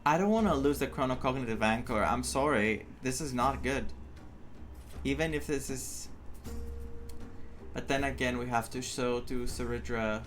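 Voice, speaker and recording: A man's voice: medium pitch, a bit bright. A man giving casual playthrough commentary, chatting and thinking aloud as he goes. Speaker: gaming content creator. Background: game music.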